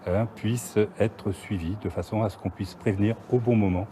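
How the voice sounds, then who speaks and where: A man's voice: lowish voice, steady tone, normal speed, warm tone. A man in charge of health coordination, explaining spontaneously in an interview. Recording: noisy urban ambience.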